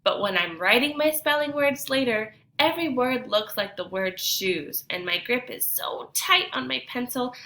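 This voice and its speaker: A woman teacher reading a picture book aloud to children, expressive and deliberate, pausing to put questions to her young listeners. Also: mid-range voice. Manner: addresses children directly, deliberate and animated.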